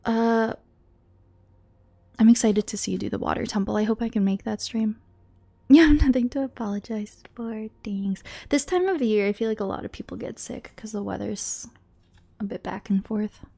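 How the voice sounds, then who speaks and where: A woman's voice: medium pitch, moderate pace, vocal fry. A young woman streaming a game, chatting casually with her viewers, sounding congested and a bit nasal from a cold, rambling and restarting her sentences. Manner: chatty, rambling with repeated restarts and quiet asides.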